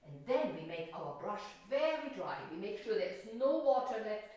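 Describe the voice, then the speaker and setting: A woman's voice: medium pitch, sing-song, normal speed, a little mellow. Woman teaching painting in an echoey room. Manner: encouraging, talking to viewers with simple questions and remarks. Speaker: art teacher. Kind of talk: gentle painting tutorial for children. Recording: very roomy, echoey, distant microphone.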